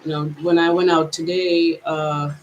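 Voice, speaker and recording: A woman's voice: low voice, level tone, unhurried, slight background noise, rough recording. A woman from Jamaica with a mixed Jamaican and American accent, giving a reflective personal vlog monologue in a hesitant, searching way with many restarts. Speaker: vlogger.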